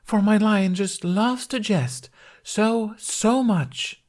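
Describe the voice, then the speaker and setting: A man's voice: high voice, a little slow, darkish tone, pitched above the performer's own voice. A man voicing a theatrical fairy woman who plays a gallant, bombastic knight in archaic stage speech for a story. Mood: awkward covering.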